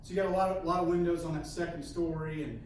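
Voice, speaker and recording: Male sales trainer, slightly high voice, halting, repeats words, very echoey room, distant mic. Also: fairly even intonation, a little mellow. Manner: halting, repeats words, ends points with "okay?". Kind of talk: coaching a training session with role-play. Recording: echoey room, mic far off, faint hiss.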